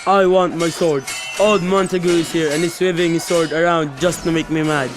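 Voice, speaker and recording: A man acting as a blustering, indignant old lord in a student radio play. The male voice runs somewhat high and is spoiling for battle. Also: steady tone, normal speed, over music, natural voice. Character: blustering old lord Capulet, quick to fight.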